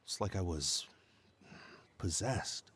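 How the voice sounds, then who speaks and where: Weary, bewildered man, lowish voice. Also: expressive, takes it slowly, fairly bright tone, husky, full character voice. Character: weary, bewildered ordinary man recovering from exhaustion. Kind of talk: video game character acting. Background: music.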